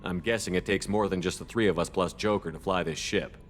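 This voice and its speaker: An actor playing a steady, direct military commander in a video game, with terse, matter-of-fact delivery. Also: male voice, low voice, brisk, lightly acted. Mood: focused, businesslike. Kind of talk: scripted video game dialogue.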